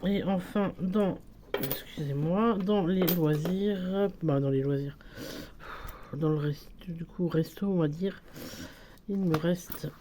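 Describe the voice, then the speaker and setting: A woman's voice: on the low side, takes it slowly, noisy background. A woman with a cold and a French accent, talking casually and hesitantly as she fills budget envelopes, with many fillers and self-corrections. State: has a cold. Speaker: budgeting vlogger. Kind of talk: casual talk while filling budget envelopes.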